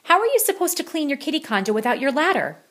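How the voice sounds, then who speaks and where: A woman's voice: high-pitched, very animated, fast talker, fairly bright tone, voice pushed up. A woman plays a storybook mama cat laying down the law to her kittens. She is bossy and emphatic, with a raised, theatrical voice that swings widely. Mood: scolding. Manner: emphatic, dramatic.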